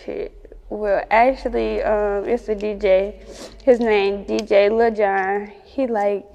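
Woman, Chicago accent, mid-pitched, casual interview chat, slangy, sweary, long room reverb. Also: flat delivery, slow pace, creaky, darkish tone, highs cut off. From Chicago, United States. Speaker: rapper being interviewed.

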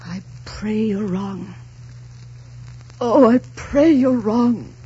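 A woman's voice: medium pitch, extremely slow. An actress playing a proud, devoted lady in love in emotional 1940s radio melodrama style. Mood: anxious. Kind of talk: 1940s radio drama acting. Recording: dull 1940s radio recording, music behind.

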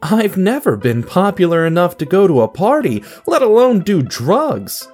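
A man's voice: high voice, big pitch swings, normal speed, a little mellow, faint music behind, voice pushed up. A man narrating a radio story, voicing a glum, unpopular young office man thinking aloud in self-pity.

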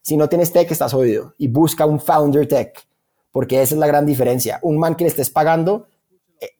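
A man's voice: on the high side, a little fast, warm tone. A man with a Colombian accent answering interview questions in an informal, conversational way, often repeating words for emphasis. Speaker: interview guest, startup founder.

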